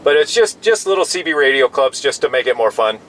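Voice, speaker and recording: A man's voice: mid-range voice, very animated, brisk. An American man in his fifties chatting casually as a CB radio operator, explaining things in an easygoing, rambling way. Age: 50s. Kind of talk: casual two-way radio chat. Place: in a pickup truck. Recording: in-vehicle recording with clear background noise.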